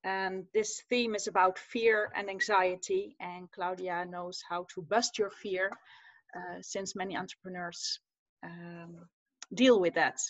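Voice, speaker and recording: A woman's voice: lowish voice, fairly even intonation, slow pace, fairly bright tone, poor audio. A woman from the Netherlands in her forties, co-hosting over a video call and prompting the guest with questions. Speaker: co-host and money coach. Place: home room with bookshelves. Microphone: video call webcam.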